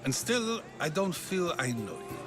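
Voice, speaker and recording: An actor playing a probing, articulate ancient Athenian philosopher in a video game, musing and questioning. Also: male voice, a little high, big pitch swings, a little slow. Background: game music.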